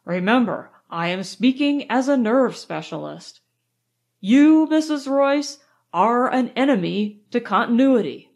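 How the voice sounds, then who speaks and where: A woman reading aloud, voicing a calm, firm male nerve doctor who lectures in a measured way. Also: on the high side, gravelly. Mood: lecturing.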